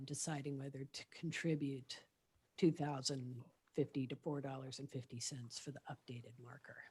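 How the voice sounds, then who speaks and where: A woman with a scratchy, fairly low voice speaks at a committee meeting over a video call. She reads in a measured way and lets her phrases fade out at the end. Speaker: committee member. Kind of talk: public committee meeting, reading text aloud.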